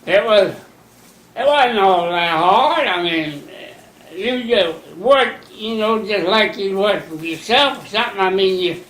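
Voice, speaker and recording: A man in his nineties slowly recounting old memories in a folksy, rambling way, using plain rural grammar. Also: sits high, expressive, a bit bright, reverberant, rough recording. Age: 90s. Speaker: interviewee. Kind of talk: rambling recollection.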